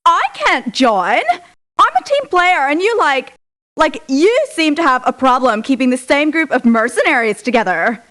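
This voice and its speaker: A woman's voice: sits high, very animated, moderate pace, pushed and bright, heavily acted. An actress playing a young, ditzy, squeamish valley girl mercenary in a video game, with an American Valley girl accent and a whiny manner. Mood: whiny, affronted. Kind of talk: theatrical video game voice clips.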